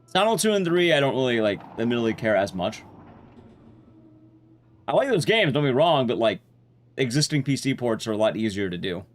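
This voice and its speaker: A man's voice: medium pitch, big pitch swings, brisk, long pauses. A man livestreaming a video game, giving casual gameplay commentary while chatting with his viewers.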